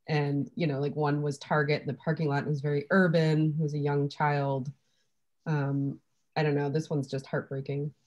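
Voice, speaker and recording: A woman's voice: sits low, level tone, normal speed, darkish tone, dull audio. A woman participant in an online art discussion, speaking tentatively with many fillers over a video call.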